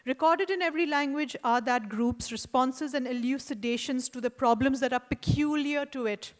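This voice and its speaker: A woman with an Indian accent delivers a prepared lecture at a fairly high pitch. She reads in a measured, deliberate way and breaks off for wry asides and hesitant fillers. Her voice rings through a hall with a long reverb tail. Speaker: artist giving a lecture. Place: lecture hall at an art event.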